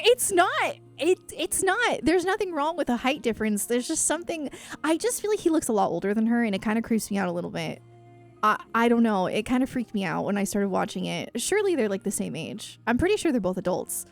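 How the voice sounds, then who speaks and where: High-voiced chatty woman livestreamer. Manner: rambling, effusive, self-deprecating. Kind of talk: casual chatty livestream, reading and answering chat.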